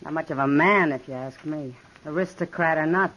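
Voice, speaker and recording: A woman's voice: sits low, fairly quick, fairly bright tone, low-quality recording. An actress playing a tough but kindly saloon woman with a sardonic edge, in 1950s western radio style.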